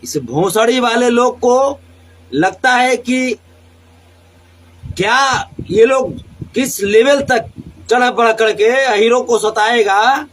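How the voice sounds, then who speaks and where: A man with a high-pitched voice and a Bhojpuri accent rants to a live social media audience. He is combative and defiant, hammering phrases by repeating them. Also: slow pace, long pauses, fairly bright tone, low-quality recording. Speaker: singer.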